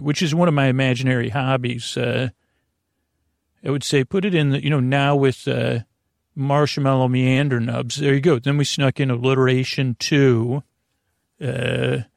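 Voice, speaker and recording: A man hosting a bedtime podcast in a creaky voice, meandering hesitantly and often repeating and correcting himself. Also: medium pitch, no echo, studio quality. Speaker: podcast host. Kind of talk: rambling bedtime sleep podcast monologue.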